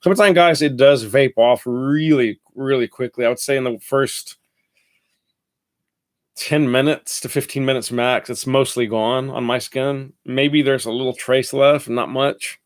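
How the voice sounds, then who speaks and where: A man's voice: medium pitch, fairly even intonation, measured pace. A man with a nasal voice, congested from a head cold, chatting through a casual fragrance review in a rambling, self-correcting way.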